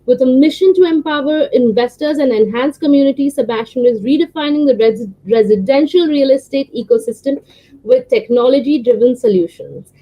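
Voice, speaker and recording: High-voiced woman hosting a podcast. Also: fairly quick, mellow, poor audio. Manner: reads introduction smoothly, asks questions with many fillers. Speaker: podcast host. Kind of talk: scripted intro, then interview questions.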